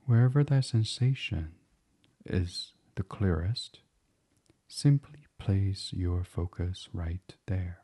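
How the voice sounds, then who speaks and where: A man guiding a bedtime meditation as a podcast host, speaking softly and soothingly in an unhurried, hushed, breathy way. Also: low voice. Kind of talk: guided meditation, gentle bedtime reading.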